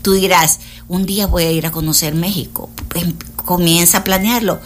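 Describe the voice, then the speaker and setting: A woman's voice: on the low side, steady tone, normal speed, slight background noise. A woman, a motivational coach chatting on the radio and addressing listeners directly. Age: 60s. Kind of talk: casual radio chat.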